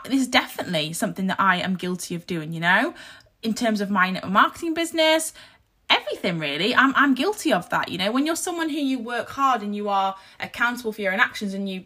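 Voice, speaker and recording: A woman in her thirties hosting a casual solo podcast, croaky and husky from a sore throat, talking in an earnest, reflective, motivational way. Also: medium pitch, pushed and bright. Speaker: podcast host.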